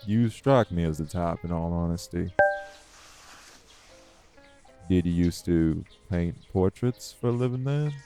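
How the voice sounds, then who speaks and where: A man acts out an ancient male vampire for an intimate audio roleplay. His voice sits very low with a heavy gravelly fry and a dark, mellow tone. He drawls without hurry, guarded and wry, with music behind him. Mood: teasing, curious. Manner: drawling, folksy, unhurried, wry. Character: world-weary, guarded old male vampire, gruff but curious.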